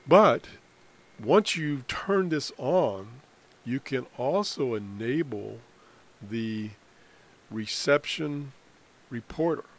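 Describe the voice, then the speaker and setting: A man explains software in an unscripted screencast tutorial, halting often in mid-sentence as he goes. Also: mid-range voice, lively intonation. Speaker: amateur radio hobbyist presenting a tutorial.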